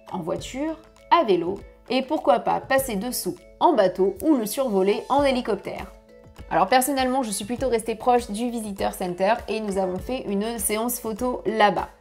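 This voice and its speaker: A woman in her thirties giving travel tips to camera from her home office, lively and chatty, on a lapel mic. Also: a little high. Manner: lively, chatty, gesturing with hands. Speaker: family travel vlogger. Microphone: clip-on lapel mic.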